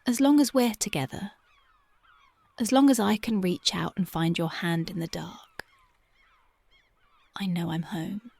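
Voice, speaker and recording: A woman playing a slow, gravelly whispering punk girlfriend. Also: medium pitch, dry recording. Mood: tender, dreamy. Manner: soft, often drifting into whispers. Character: tender young punk girlfriend, dreamy and devoted. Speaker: ASMR voice actress. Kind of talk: ASMR girlfriend roleplay.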